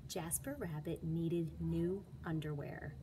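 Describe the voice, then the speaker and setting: Female storybook reader, lower-pitched, unhurried and expressive, teacher addressing children, slight noise behind. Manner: dramatic, emphatic storytelling for children. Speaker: teacher reading aloud.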